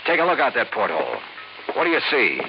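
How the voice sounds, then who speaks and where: A tough American male insurance investigator in a 1950s radio mystery. He is clipped and dryly hard-boiled, with very animated pitch. The old recording is dull, with music behind. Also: fast, gravelly. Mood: accusing. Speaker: lead actor and narrator. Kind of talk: 1950s radio detective drama acting.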